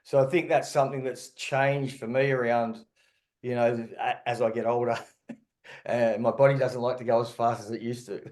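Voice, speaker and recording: A man's voice: mid-range voice, level tone, moderate pace, darkish tone. A middle-aged man, hosting a podcast in a casual, conversational interview style. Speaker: podcast host.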